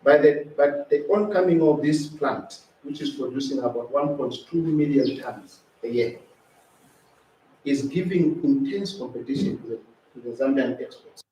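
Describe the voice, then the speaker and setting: A man's voice: mid-range voice, moderate pace, warm tone, highs cut off. A man giving a formal briefing as a managing director, recorded in an echoey space from some distance. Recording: echoey, distant mic, faint noise.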